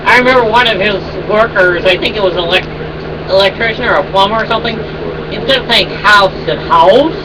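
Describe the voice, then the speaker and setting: A woman's voice: mid-range voice, a little fast, distant mic, very noisy. Blunt, sarcastic woman off-mic. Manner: blunt, sarcastic, swears freely. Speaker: vlogger's wife. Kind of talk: casual chat.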